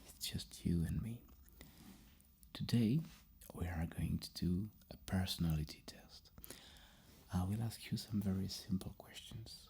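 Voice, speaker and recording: A man plays a psychologist in a soft-spoken ASMR roleplay. His voice is low and very breathy, often slipping into a dark whisper. He speaks haltingly, repeating words and gently reassuring. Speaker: roleplay host acting as a psychologist.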